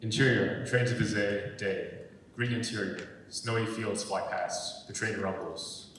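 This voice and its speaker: Low-voiced male narrator in an echoey hall. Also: steady tone, measured pace, fairly bright tone. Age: young adult. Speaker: stage direction narrator. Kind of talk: scripted narration. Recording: very echoey hall, distant mic, faint rumbling noise.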